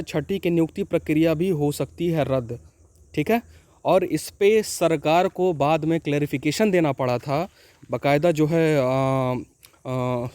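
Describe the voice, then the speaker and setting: A man's voice: a little high, mellow, no room sound. A man speaking as an exam channel host, giving an informal, reassuring explainer to students and often adding small check-in phrases. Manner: reassuring, repeats tag phrases to check understanding.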